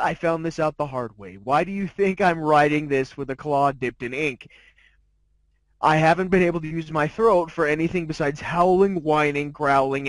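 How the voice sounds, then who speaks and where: A man's voice: on the high side, dry recording, lightly acted. A man voicing a snobby, sardonic diamond dog who narrates his life story in a haughty, jaded, wry manner. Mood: grim, sardonic. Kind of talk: dramatic fan fiction narration.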